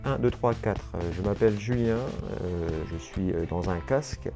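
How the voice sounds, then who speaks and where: A man's voice: lowish voice, normal speed, dark tone, soft background music. A man hosting an informal product demo, chatting unscripted and often hesitating and repeating himself. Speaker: video host.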